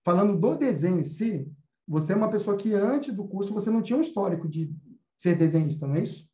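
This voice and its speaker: Higher-voiced Brazilian man, mellow, roomy sound. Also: expressive, quick pace. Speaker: host, animation course teacher. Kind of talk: casual explanatory talk. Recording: roomy, slightly dull sound.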